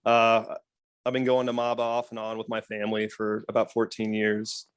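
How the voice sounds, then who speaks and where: A young man speaks fluently in a very flat, even tone, his pitch a touch low. The sound is dry and close. It is a video call self-introduction. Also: normal speed, clean tone, dry recording. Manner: fluent, steady. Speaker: student.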